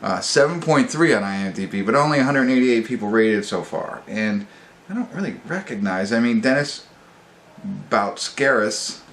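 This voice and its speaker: A man with a lowish, notably creaky voice gives an informal film review as host. He talks in a loose, halting, conversational way, wandering as he goes. The room adds a clear echo around his words. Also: animated, a bit bright. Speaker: host of a movie review show. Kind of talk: casual off-the-cuff movie review. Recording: roomy echo, background room noise.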